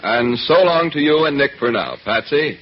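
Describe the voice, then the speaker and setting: A man's voice: mid-range voice, expressive, moderate pace. A man announcing a 1940s radio serial in a formal, polished scripted delivery. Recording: muffled 1940s radio recording.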